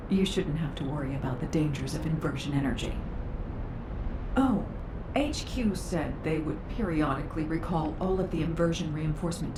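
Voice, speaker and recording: An actress playing a composed, clever sci-fi inventor in a game, speaking with deliberate self-assurance. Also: female voice. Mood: musing. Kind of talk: scripted game dialogue. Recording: roomy, with clear rumbling background noise.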